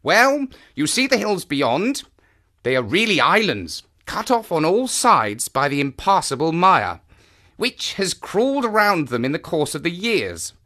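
An enthusiastic male naturalist guides a visitor across the moor, as a man acts him out in an audio drama. His voice leaps about wildly in pitch and carries a bright, forward edge. He explains with keen, knowing animation. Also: full character voice. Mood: explaining. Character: eager male naturalist of the moors, lively and knowing.

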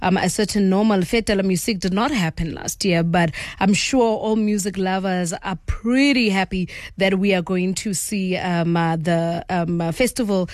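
A South African woman hosts a casual radio interview in a chatty, encouraging manner. Her voice sits at a middle pitch, and the sound is very dry with no room echo. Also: steady tone, moderate pace, few pauses, darkish tone. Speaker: radio host.

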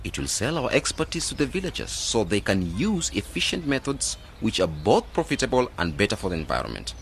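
A man's voice: fairly low pitch, sing-song, fairly quick, creaky, a little hiss or hum. A man acting in a radio drama as an earnest, idealistic, determined young graduate planning a village project. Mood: earnest, explaining.

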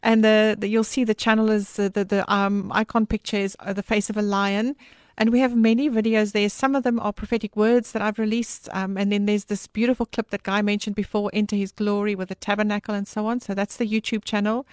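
Afrikaans-speaking woman guest, halting explainer. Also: medium pitch, level tone, smooth, no room sound, clean studio sound. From South Africa. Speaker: guest, author.

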